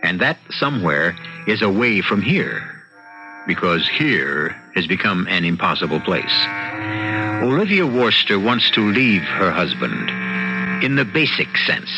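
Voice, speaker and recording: A man's voice: deep voice, noisy background. A man hosting a mystery series with theatrical, knowing old-time radio narration full of rhetorical questions. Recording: muffled vintage radio recording, loud music.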